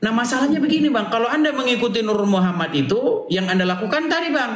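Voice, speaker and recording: A man's voice: very high voice, lively intonation, quick pace, fairly bright tone. A man in an informal religious debate, speaking insistently and often checking agreement with rhetorical questions. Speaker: debater leading a religious discussion. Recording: echoey room sound.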